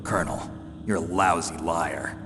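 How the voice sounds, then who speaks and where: A man playing a gruff, cynical retired special forces soldier in a video game, terse and sardonic. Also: normal speed, noisy background. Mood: defiant, suspicious. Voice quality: low, gruff. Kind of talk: dramatic video game voice acting. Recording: long hall-like reverb.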